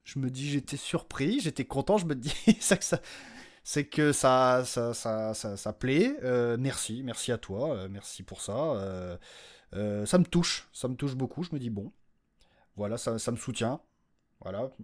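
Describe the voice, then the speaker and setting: A man with a mid-pitched voice talks off the cuff as a podcast host. His delivery is informal and rambling, with slangy, self-deprecating phrasing, like an unscripted update to listeners. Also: lively intonation, a little slow. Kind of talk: casual unscripted podcast announcement.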